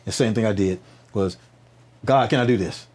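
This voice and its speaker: A man's voice: lowish voice, moderate pace, darkish tone. A man speaking as a podcast guest, telling stories conversationally with preacher-like emphatic repetition, rhetorical questions and frequent check-in tags. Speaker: podcast guest, nonprofit founder. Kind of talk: animated conversational storytelling, interview.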